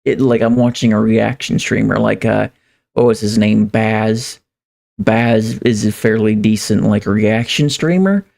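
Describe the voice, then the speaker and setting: Guy streaming casually, creaky relaxed voice, rambles and repeats himself. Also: lowish voice. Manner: rambling, halting, repeats phrases as he thinks aloud. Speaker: live streamer. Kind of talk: casual livestream chat, reading viewer comments.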